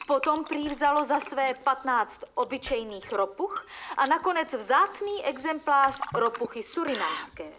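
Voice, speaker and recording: An earnest female presenter in a radio drama. Her high voice gives a formal, measured report, with a faint clatter of dishes behind it. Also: lively intonation, bright, forceful tone, a little hiss or hum. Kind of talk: scripted narration within radio drama.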